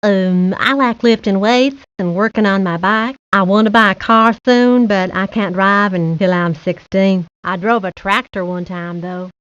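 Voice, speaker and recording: A woman voicing a good-natured, slow-witted boy with a simple, dopey manner, for a cartoon video game. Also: medium pitch, a bit bright, no room sound, lightly acted. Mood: dopey, cheerful. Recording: dull lo-fi 1990s game audio.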